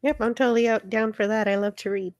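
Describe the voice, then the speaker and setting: A woman's voice: on the high side, steady tone, fast talker, darkish tone, muffled recording. A woman chatting casually as co-host of a livestream. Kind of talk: casual chat.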